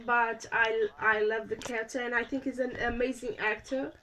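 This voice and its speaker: A woman's voice: a little high, flat delivery, normal speed, a bit bright, rough recording. A young woman from Portugal with a Portuguese accent, chatting casually about movies she watched, with many fillers and restarts. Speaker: vlogger. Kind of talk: casual movie review vlog.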